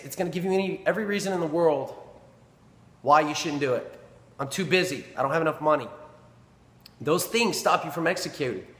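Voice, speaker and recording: A man's voice: a little high, a bit bright. A man in his thirties, a personal trainer, giving an earnest, emphatic motivational talk to a camera in a small indoor gym. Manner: earnest, emphatic, repeats phrases for stress. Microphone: camera in front at close range. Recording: echoey gym with long reverb.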